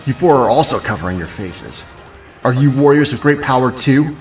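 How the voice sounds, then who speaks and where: A man's voice: medium pitch, lively intonation, normal speed, faint music behind, natural voice. Man as a noble alien warrior prince. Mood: noble, earnest. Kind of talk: audio drama acting.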